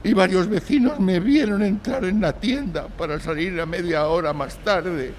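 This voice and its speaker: High-voiced, anguished, timid young man played by an actor. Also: a little mellow, a little hiss or hum, lightly acted. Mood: nervous, hesitant. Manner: hesitant, halting, sighing. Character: timid, anguished young male client telling his misfortune. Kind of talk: live audio drama acting.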